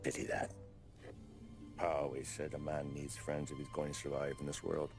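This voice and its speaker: A man's voice: very deep voice, completely flat delivery, normal speed, faint music behind. A man playing a world-weary, shrewd police detective in a video game, with an American accent and a sardonic, slangy, probing manner. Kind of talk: cinematic video game acting.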